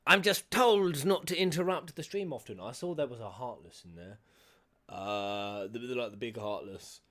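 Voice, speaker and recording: A man's voice: medium pitch, normal speed, fairly bright tone. A man giving casual, playful, sweary and theatrical reaction commentary as he streams game cutscenes. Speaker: streamer, gaming host.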